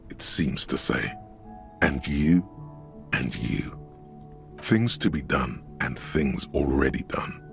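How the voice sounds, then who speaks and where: A man voicing a video game narrator who speaks a detective's brooding inner thoughts in deliberate literary narration, gravelly and husky. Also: rumbling low, heavily acted.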